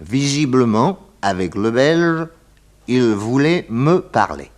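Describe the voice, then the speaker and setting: A detective narrating his case in an old French radio drama. His medium-pitched voice is wry and highly animated, savouring the telling. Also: slow pace. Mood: wry recounting.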